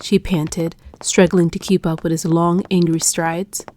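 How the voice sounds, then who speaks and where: A woman narrating a scripted dark romance audiobook in a measured, hushed, dramatic manner. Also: fairly low pitch, level tone.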